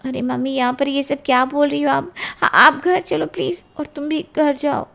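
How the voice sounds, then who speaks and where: A woman's voice: sits high, steady tone, moderate pace, creaky, a bit bright, pitched above the performer's own voice. A woman voicing an anxious college-age daughter in an audio drama, pleading and defending herself. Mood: flustered pleading.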